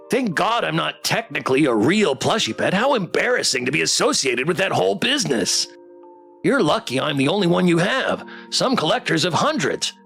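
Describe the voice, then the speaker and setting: A streamer putting on a cheeky little plush toy, animated. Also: medium pitch, gravelly. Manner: sassy, emphatic. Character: small manic, cheeky, rebellious living plush toy. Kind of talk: reading game character dialogue aloud.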